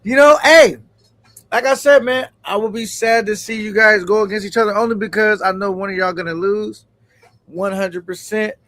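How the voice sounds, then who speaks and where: Stream host, man, high pitch, hype-man energy. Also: moderate pace, fairly bright tone, no echo. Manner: hypes up, reads chat aloud. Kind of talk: casual live stream hosting.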